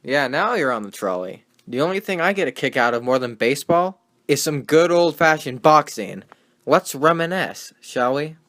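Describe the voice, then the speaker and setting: A man's voice: on the high side, animated, normal speed, fairly bright tone. Man playing a wisecracking 1920s radio sports host. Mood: enthusiastic. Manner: folksy, slangy, sardonic. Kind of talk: 1920s radio broadcast acting with period slang.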